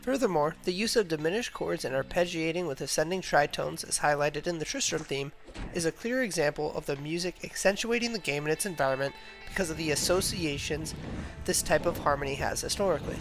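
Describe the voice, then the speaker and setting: A man's voice: fairly high pitch, a little fast, a bit bright, rough recording. A young man narrating a scripted video essay as a student presenting an analysis, reading steadily from a prepared text. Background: music playing under the voice.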